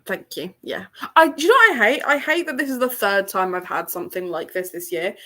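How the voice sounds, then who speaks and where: A woman's voice: medium pitch, animated, a little fast, bright, forceful tone. Chatty English woman with a sore throat. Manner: chatty and rambling, with frequent laughs. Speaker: book channel host. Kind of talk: casual livestream chat. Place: room at her parents' home.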